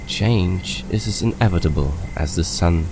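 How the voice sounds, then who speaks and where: A man playing an ancient, weary dragon mentor in an old man's form, speaking slowly and solemnly in an audio drama. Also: deep voice. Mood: wise, gentle. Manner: slow, solemn, soothing. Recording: clearly noisy background.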